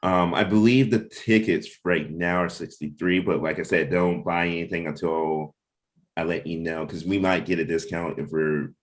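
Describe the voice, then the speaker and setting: A man's voice: low-pitched, steady tone, moderate pace. A man talking casually and informally as he leads a team meeting of comic book creators, often checking in with his listeners. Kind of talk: casual chat, planning and anecdotes.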